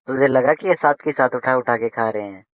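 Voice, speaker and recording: A man's voice: mid-range voice, fairly quick, no room sound. A man chatting casually and intimately on a recorded phone call, colloquial and teasing, often repeating his phrases.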